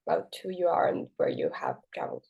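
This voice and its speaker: A woman hosting a podcast interview, asking questions in a soft, tentative way. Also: lowish voice, huge pitch swings, fast, vocal fry, narrow, tinny audio.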